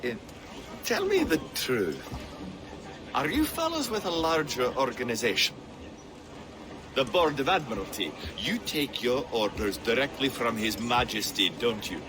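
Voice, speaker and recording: Courteous, lively naval captain. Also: male voice, medium pitch, measured pace. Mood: curious. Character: polite, inquisitive male naval sea captain. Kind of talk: dramatic video game cutscene acting.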